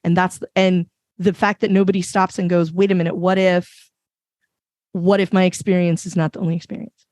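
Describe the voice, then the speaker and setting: A woman with a fairly low voice, still rough from recovering after being sick, making a passionate, emphatic point on a panel discussion over a video call. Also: flat delivery, brisk, a little mellow, no room sound, clean studio sound. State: recovering from illness, voice a bit rough. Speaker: podcast panelist.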